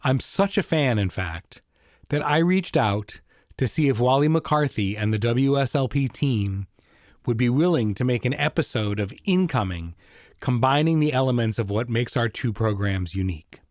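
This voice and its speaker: A man's voice: mid-range voice, animated, moderate pace, darkish tone, no echo, studio quality. A man hosting a podcast, delivering a scripted introduction. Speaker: podcast host introducing an episode. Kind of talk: scripted narration.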